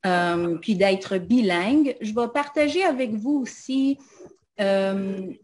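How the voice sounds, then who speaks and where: Slow, halting woman. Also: mid-range voice, a little mellow, a little hiss or hum. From Montreal, Canada. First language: English. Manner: hesitant, many "euh" fillers, switches into English. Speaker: invited guest speaker. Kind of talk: casual personal talk.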